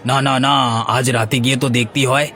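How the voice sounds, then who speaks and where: A man's voice: mid-range voice, steady tone, quick pace, fairly bright tone, a little hiss or hum, lightly acted. A man playing a spiteful village saboteur in a Bengali cartoon, gloating and menacing as he speaks. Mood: surprised, scheming. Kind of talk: Bengali moral-story cartoon dub.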